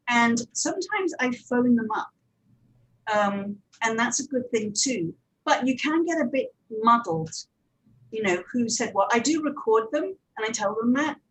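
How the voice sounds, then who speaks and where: Female panel speaker, slightly high pitch, conversational and a little halting, explanatory delivery. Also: low-quality recording. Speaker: panel speaker, audio drama producer. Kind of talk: conference panel talk.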